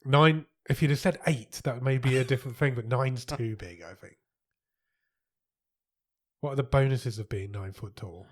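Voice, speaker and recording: A man co-hosts a relaxed podcast, his voice a little husky. He talks casually and chattily, often repeating or completing his partner's phrases as they banter. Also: mid-range voice, normal speed, pauses often. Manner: chatty, echoes and finishes his co-host's lines. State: slightly husky voice. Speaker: podcast co-host. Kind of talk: casual chat.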